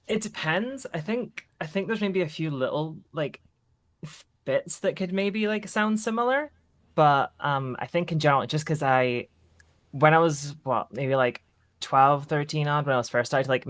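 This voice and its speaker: A woman's voice: low-pitched, moderate pace. A woman from southern Scotland with an American-influenced Scottish accent, chatting casually and tentatively as a guest. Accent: mixed American-influenced Scottish. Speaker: podcast guest. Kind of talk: casual chat.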